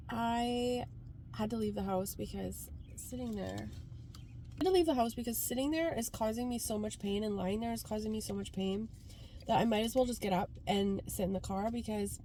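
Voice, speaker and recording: A woman's voice: mid-range voice, normal speed, darkish tone. Female vlogger from Canada, congested, chatty and informal, recorded in a car with light ambient noise. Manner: informal, rambling, frequent "like". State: congested from a cold. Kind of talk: casual chatty car vlog. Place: sitting inside a car.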